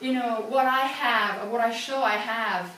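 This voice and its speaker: A woman's voice: on the high side, brisk, bright, forceful tone. A woman from Italy with an Italian accent, giving an informal talk to a live audience in a chatty, self-deprecating way, full of fillers and restarts. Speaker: guest speaker. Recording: echoey room from a distance, faint background hiss.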